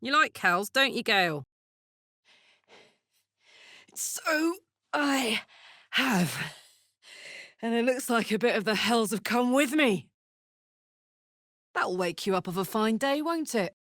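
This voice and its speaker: An actress playing a brash, warm-hearted, battle-hardened tiefling barbarian woman in a video game, blunt, cheeky and earnest. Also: on the high side, expressive, takes it slowly, long pauses, no room sound, studio quality. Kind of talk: scripted game character voice lines.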